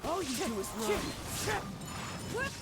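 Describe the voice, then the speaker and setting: A brisk young video game fighter who taunts in short, punchy calls. The pitch jumps around a lot, and loud music sits behind the voice. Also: wildly swooping pitch, heavily acted. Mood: cocky, combative. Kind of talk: video game battle lines. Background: loud game battle music and impacts.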